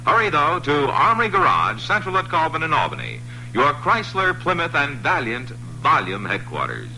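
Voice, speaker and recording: A man reading a radio car commercial in a bright, punchy salesman delivery. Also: fairly high pitch, wildly swooping pitch, moderate pace, faint music behind, low-quality recording. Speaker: ad announcer. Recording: faintly hissy 1960s radio commercial.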